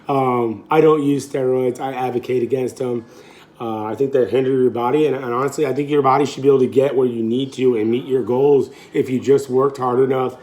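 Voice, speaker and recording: A man's voice: medium pitch, fairly even intonation, a little fast, a little mellow. A man in his late thirties, a fitness coach, chatting casually in a home cooking vlog, rambling and correcting himself with easy laughs. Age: 30s.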